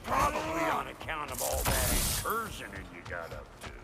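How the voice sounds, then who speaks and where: A man voicing a gruff, foul-mouthed, cocky dwarf blacksmith in a video game, with a gravelly voice and a folksy rural American drawl. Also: medium pitch, wildly swooping pitch, moderate pace, heavy background noise. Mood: gruff, boastful. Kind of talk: animated video game acting.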